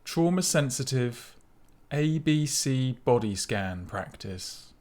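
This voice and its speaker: A man with a mid-pitched voice guides a body scan meditation. He speaks gently and without hurry, giving soft instructions and leaving space between his phrases. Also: expressive. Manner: gentle, unhurried, instructive, with pauses.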